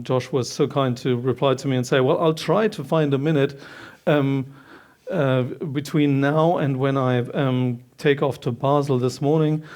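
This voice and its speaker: A man in his forties with a Bavarian German accent, moderating a panel on a conference hall stage, pausing often with ums. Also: medium pitch, darkish tone. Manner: hesitant, many ums, long introductions.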